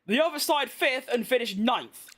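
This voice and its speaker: Tired, chatty British man, very high voice. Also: animated, moderate pace, fairly bright tone. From Britain. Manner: chatty, rambling, self-deprecating. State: tired from being awake all day. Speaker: gaming streamer. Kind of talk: casual live-stream gameplay chat.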